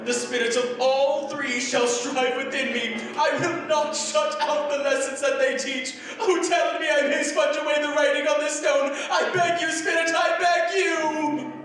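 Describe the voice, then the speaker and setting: An actor playing an old miser who begs a ghost and then rejoices, in a 1940s-style radio drama. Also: male voice, extremely high pitch, fairly even intonation, unhurried, hardly any pauses, fairly bright tone. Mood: desperate. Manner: pleading and theatrical. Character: old male miser, remorseful then overjoyed. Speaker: Scrooge. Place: theater stage. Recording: echoey stage from far off.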